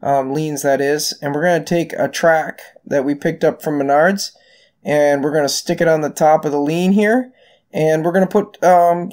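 A middle-aged man, hosting a casual do-it-yourself farm project voice-over in a relaxed, folksy way with many ums. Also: a little high. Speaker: farm owner and channel host.

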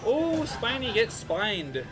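Joking guy co-commentating smash, high lively voice, music playing. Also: takes it slowly, rough recording. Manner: goofy, teasing, asks questions. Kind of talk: casual joking color commentary.

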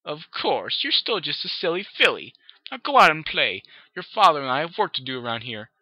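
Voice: medium pitch, sing-song, moderate pace, no echo, voice pushed up. A reader voicing a condescending mother mare pony who talks down in sugary baby talk, on a dull, dry lo-fi recording. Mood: patronizing.

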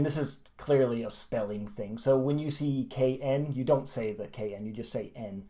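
An American man in his forties teaches English straight to camera from a small plain room. He talks lively and animated, then slows right down to say each word carefully so learners can copy it. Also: medium pitch. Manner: lively, gesturing, slows right down to model words. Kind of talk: video lesson to camera.

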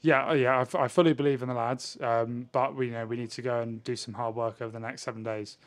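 Even-toned young sportsman. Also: medium pitch, fast talker. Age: 20s. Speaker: professional rugby player, winger. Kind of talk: post-match interview. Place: indoors in front of a sponsor backdrop. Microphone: handheld interview microphone held at chest height.

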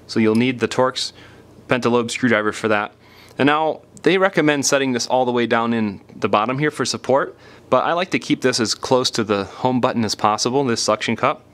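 A man's voice: mid-range voice, a little fast, fairly bright tone. A man hosting a do-it-yourself tutorial, explaining each step in a plain, informal way as he demonstrates. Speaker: repair tutorial host. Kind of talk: casual step-by-step hands-on demonstration.

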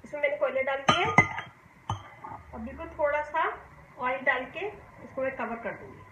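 High-voiced woman demonstrating a recipe. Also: moderate pace, dull audio. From Pakistan. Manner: calm, homely explaining, often saying "dekhiye ji". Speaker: cooking channel host. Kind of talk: step-by-step cooking demonstration. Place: home kitchen, indoors. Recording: echoey kitchen with faint hum.

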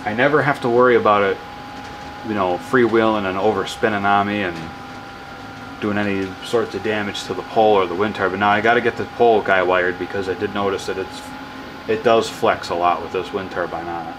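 A man casually explaining his wind turbine setup in a workshop, rambling and correcting himself with excitement. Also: on the low side, brisk. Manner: enthusiastic, rambling, self-correcting. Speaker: hobbyist wind power vlogger. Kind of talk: casual off-the-cuff demo narration.

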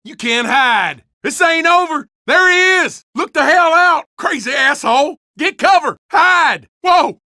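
A man's voice: very high-pitched, expressive, a little slow, hardly any pauses, bright, forceful tone, no room sound, studio quality, exaggerated voice. An actor playing a crude, hostile rural redneck man with a Southern accent, delivering profane game lines. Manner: crude, threatening, profane drawl. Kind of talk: video game voice barks.

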